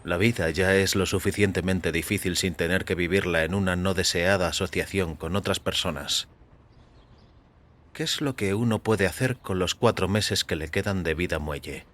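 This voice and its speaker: A man giving a measured, literary, dramatic narration of a short story in a deliberate manner. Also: low-pitched, fairly even intonation, a bit bright. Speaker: audio fiction narrator.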